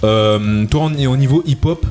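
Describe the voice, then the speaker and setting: A man's voice: mid-range voice, measured pace, a little mellow. A man hosting a show, asking long rambling questions with many fillers. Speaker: radio show host. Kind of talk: casual interview.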